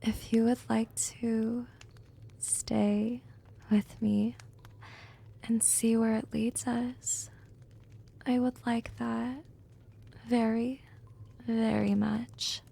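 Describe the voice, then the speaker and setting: A woman acts as a seductive vampire hypnotist in an ASMR roleplay. Her voice is fairly high yet mellow and soft, with frequent whispers. She speaks very unhurriedly, teasing and coaxing with gentle, smug control. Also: little pitch movement, extremely slow, long pauses, heavily acted. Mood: tender, teasing. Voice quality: soft, breathy, often dropping into whispers. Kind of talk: ASMR roleplay, intimate monologue.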